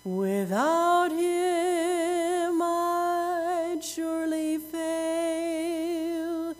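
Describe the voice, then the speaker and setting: Female gospel soloist, raspy today, soaring high and very slow, faint accompaniment underneath. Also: fairly even intonation, faint music behind. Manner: sustained, drawn-out sung phrases. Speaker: gospel singer. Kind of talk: slow solo hymn singing.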